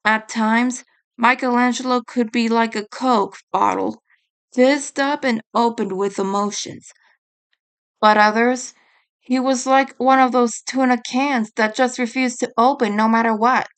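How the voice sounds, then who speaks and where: A woman's voice: a little high, steady tone, unhurried, clear, resonant tone. A woman reading a piece of fan fiction aloud as scripted story narration.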